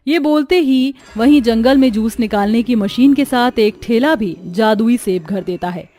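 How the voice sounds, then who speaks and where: A woman narrating a scripted animated moral story as its storyteller. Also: on the high side, unhurried.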